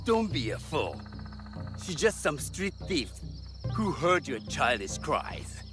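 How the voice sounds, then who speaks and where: A man's voice: on the high side, sing-song, slow pace, over music. An actor playing a scornful, greedy male henchman in a video game. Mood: scornful, defiant. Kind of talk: video game cutscene acting.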